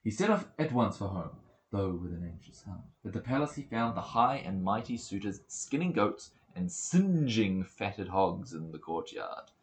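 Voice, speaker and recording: Man, lowish voice, audiobook-style epic narration, measured dramatic storytelling, noticeable room echo. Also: sing-song. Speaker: volunteer reader of an epic. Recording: roomy echoing home recording.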